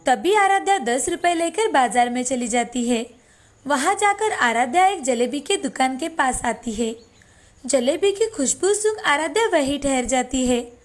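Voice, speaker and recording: High-voiced woman narrating a story. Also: a little slow, faint music behind. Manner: steady, expressive reading.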